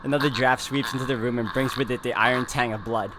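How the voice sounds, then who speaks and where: A man's voice: medium pitch, fairly even intonation, quick pace, background noise. A man hosting a let's play, mixing gaming commentary with dramatic read-aloud of visual novel narration. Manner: reads narration dramatically, reacts openly. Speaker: let's play video host.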